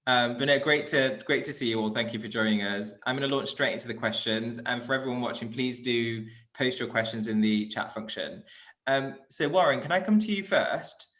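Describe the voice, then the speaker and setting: A man's voice: medium pitch, a little fast, fairly bright tone. A man hosting an online panel discussion, chatty and encouraging as he introduces guests and asks questions. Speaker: panel host.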